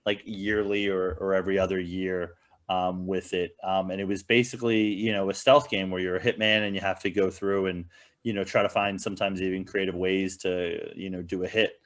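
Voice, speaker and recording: Low-voiced man rambling through casual opinions. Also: level tone, brisk. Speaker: podcast panelist reviewing films.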